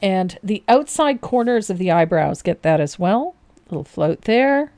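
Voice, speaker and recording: A woman in her fifties hosting a live painting lesson, calmly explaining each step and laughing often. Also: medium pitch, unhurried. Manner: calm step-by-step instruction with frequent laughs. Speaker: painting instructor and host.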